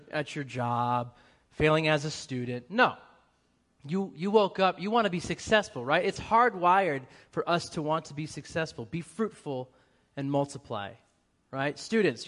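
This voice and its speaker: A young adult man with a faint Boston accent, preaching a lively church sermon and joking with the congregation. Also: on the high side. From New England, US. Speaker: guest preacher.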